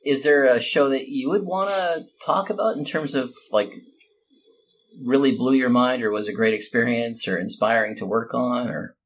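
A man's voice: medium pitch, moderate pace, a little hiss or hum, poor audio. A man hosting a casual podcast chat in a joking, teasing manner. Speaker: podcast host.

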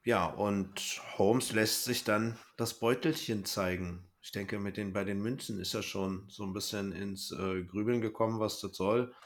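A man's voice: lowish voice, steady tone, unhurried, few pauses. A man co-hosting a podcast, recapping and discussing an audio drama in casual conversation. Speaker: podcast co-host.

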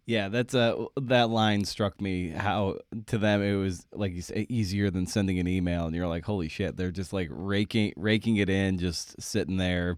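A man's voice: deep voice, steady tone, moderate pace, hardly any pauses, no echo, clean studio sound. A man hosting an interview podcast, talking in an informal, reactive, conversational way.

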